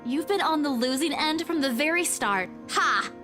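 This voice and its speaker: Sassy young game heroine, acted by a woman: high, lively, bright, defensive banter. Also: moderate pace, music in the background. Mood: teasing, irritated. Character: young woman, defensive, teasing, haunted visual novel heroine.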